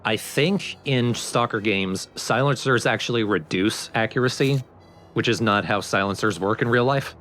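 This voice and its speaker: A man's voice: on the low side, moderate pace, a bit bright, slight background noise. A man giving casual, explanatory gameplay commentary in an informal way. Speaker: let's play host and player.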